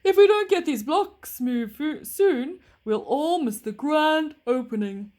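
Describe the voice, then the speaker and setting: Woman acting a loud, emphatic train driver. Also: sits high, expressive, slow, pitched above the performer's own voice. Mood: urgent. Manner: emphatic, urgent. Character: brisk, practical toy train driver. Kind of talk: children's storybook character voice.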